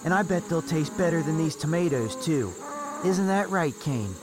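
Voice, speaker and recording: A man playing a cheeky younger brother in a fantasy audio drama, his voice pitched high for a man. He needles with taunting sarcasm over clear insect buzz. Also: expressive, normal speed, noisy background, natural voice. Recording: loud buzzing insect ambience.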